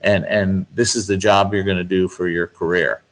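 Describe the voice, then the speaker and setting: Low-voiced man explaining business matters. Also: flat delivery, moderate pace, low-quality recording. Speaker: guest speaker, finance expert. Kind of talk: interview answers, explanatory business talk.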